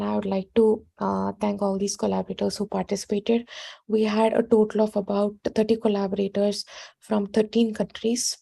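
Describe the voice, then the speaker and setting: A woman's voice: medium pitch, vocal fry, warm tone, slightly rough. A woman moderating an online question session, polite and formal, with some fillers. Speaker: webinar moderator.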